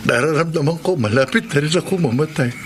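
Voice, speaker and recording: Actor as grieving Filipino widower, noisy track. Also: male voice, a little high, fast talker, close to an everyday voice. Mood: grieving, resigned. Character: grieving, resigned, forgiving widower who expects death soon. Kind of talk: Filipino radio drama acting.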